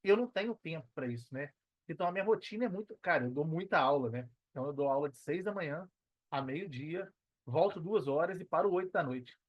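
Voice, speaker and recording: A man's voice: on the high side, animated, fast talker, dry recording, highs cut off. A Brazilian man in his thirties talking casually as a podcast guest, conversational and often checking for agreement with slangy tags. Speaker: podcast guest, personal trainer and affiliate marketer. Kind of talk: casual podcast interview talk.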